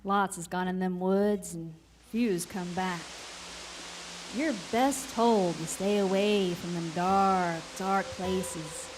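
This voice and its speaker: A woman's voice: lowish voice, slow, background noise. A woman storyteller narrating a folksy horror tale live on stage, with dramatic phrasing and rustic dialect. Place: live stage in a recreation center.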